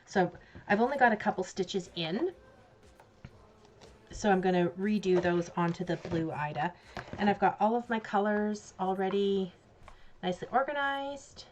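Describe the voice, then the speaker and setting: A Canadian woman talks through her crafting plans in a relaxed, chatty way, laughing at herself now and then. The recording is a little dull and lo-fi. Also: medium pitch, moderate pace, pauses often, slight background noise. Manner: chatty, giggly, self-mocking. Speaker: crafts vlogger. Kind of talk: casual crafting chat. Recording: slightly dull lo-fi sound.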